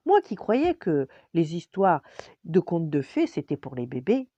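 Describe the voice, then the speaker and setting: A woman voicing an excited, bossy little princess girl in a storybook tale, gushing and insistent. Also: sits low, measured pace, dry recording, highs cut off. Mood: amazed.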